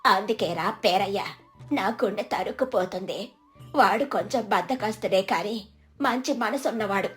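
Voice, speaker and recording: A woman voicing a worried mother of grown sons, plaintive and exasperated, in an animated moral story. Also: medium pitch, moderate pace, soft background music, lightly acted. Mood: worried, pleading.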